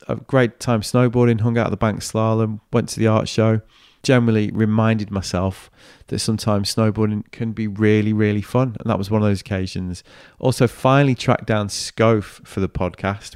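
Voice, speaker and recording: Upbeat podcast host, smooth voice. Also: male voice, fairly low pitch, darkish tone, no room sound, studio quality. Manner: chatty, upbeat, banter-prone. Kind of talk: casual chat and solo wrap-up.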